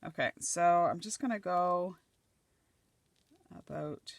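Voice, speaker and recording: Chatty middle-aged woman, slightly croaky voice. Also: on the low side, slow pace, a little hiss or hum. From Nova Scotia, Canada. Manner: chatty, thinking aloud while gluing collage pieces. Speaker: craft channel host. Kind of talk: casual crafting chat.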